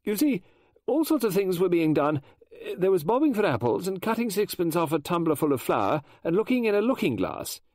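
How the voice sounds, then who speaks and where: A man doing a shaken middle-aged woman writer, breathless and faltering, with a raised voice that leaps all over the place. She restarts her sentences in a hurried rush. The recording is close and dry, with no room sound. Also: high voice, very animated, a little mellow, no echo, voice pushed up. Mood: eager. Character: shaken, flustered middle-aged female novelist.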